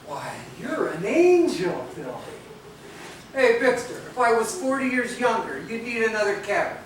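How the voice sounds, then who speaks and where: An actor playing a warm but gruff elderly mentor and bar keeper in a stage play. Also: male voice, very high voice, very animated, moderate pace. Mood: jovial, flirtatious. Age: older. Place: theatre stage, videotaped live from a distance. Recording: echoey noisy theatre hall, distant camera mic.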